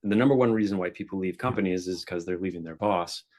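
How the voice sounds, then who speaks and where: A man's voice: low-pitched, fast talker, darkish tone. A man hosting a podcast, speaking earnestly and encouragingly in a casual interview. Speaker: podcast host.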